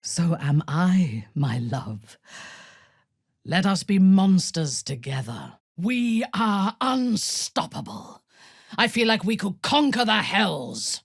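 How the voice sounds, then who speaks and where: A woman voicing a ruthless, sensual female warrior commander in a fantasy video game, with a husky, imperious and measured menacing delivery. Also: lowish voice, sing-song, dry recording, studio quality, exaggerated voice. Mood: intimate, solemn. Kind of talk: dramatic fantasy game dialogue.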